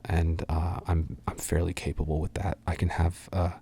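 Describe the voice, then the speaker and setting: A young adult man from the United States, talking in a soft, half-whispered way and rambling through fan questions with lots of ums and uhs. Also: deep voice, little pitch movement, moderate pace, breathy edge. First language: English. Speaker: voice actor answering fan questions.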